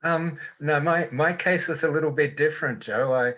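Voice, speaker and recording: A man's voice: on the high side, normal speed, fairly bright tone. An older man with an Australian accent, speaking thoughtfully as a panelist on a video call from a small home room.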